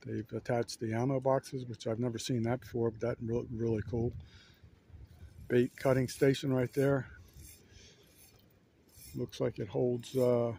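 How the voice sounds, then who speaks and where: A man casually narrating a walk-around outdoors as a fishing vlogger, in a laid-back, halting, rambling manner. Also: medium pitch, little pitch movement, gravelly, dark tone, slight background noise. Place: outdoors on an ocean fishing pier. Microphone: handheld camera, held by speaker.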